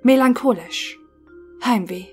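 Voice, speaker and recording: A woman playing a formal, melancholic thinking machine in an audio drama, answering in clipped, measured phrases. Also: on the high side, faint music behind.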